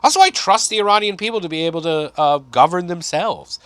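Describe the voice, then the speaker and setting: A man's voice: high voice, a little fast, clear, resonant tone, no room sound. A man in his thirties from Michigan, a comedian guest chatting in a basement podcast, profane and sarcastic. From Michigan, USA. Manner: animated, profane, sarcastic riffing. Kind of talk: casual podcast chat.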